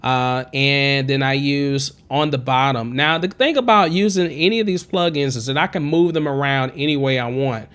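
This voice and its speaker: A man in his thirties with a high, twangy tenor, talking casually through a music production tutorial from a home studio on a condenser mic. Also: moderate pace, hardly any pauses, fairly bright tone, clean tone. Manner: chatty, encouraging, digresses. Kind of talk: casual screen-recorded tutorial. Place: home studio with green screen. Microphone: studio condenser mic with pop filter, wearing headphones.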